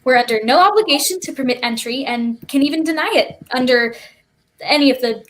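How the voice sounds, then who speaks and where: High-voiced defiant kid spacewalker played by a woman. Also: brisk, lightly acted. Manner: flustered yet argumentative. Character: defiant, quick-witted child spacewalker stowaway. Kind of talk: theatrical script reading over a livestream. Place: remote online stream.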